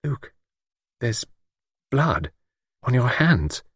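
A man's voice: mid-range voice, very animated, drawn-out words, slightly rough, no echo, studio quality, pitched above the performer's own voice, full character voice. A man voicing a frightened young woman who speaks faintly in a small, childlike voice.

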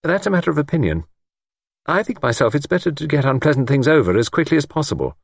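A man's voice: medium pitch, wildly swooping pitch, a little fast, slightly rough, no room sound, clean studio sound, voice pushed up, heavily acted. A man voicing a forthright, self-assured young woman in an audiobook, short and matter-of-fact. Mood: curt. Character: brisk, forthright young woman, a little exasperated. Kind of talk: audiobook character acting.

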